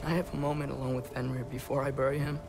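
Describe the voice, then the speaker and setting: A man's voice: mid-range voice, flat delivery, rapid-fire, creaky, over music, close to an everyday voice. A young-sounding male actor playing a restless, earnest teenage boy in a video game, with a light, insistent voice. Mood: subdued. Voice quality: youthful, light. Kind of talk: cinematic video game acting.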